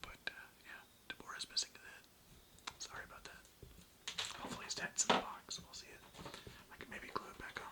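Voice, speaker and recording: Casting: young adult ASMR host, breathy whisper with very sharp edge, loose and slangy rambler. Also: a little fast, pauses often. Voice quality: breathy, mostly unvoiced whisper. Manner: casual, rambling, slangy and sweary. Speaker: ASMR video creator and host.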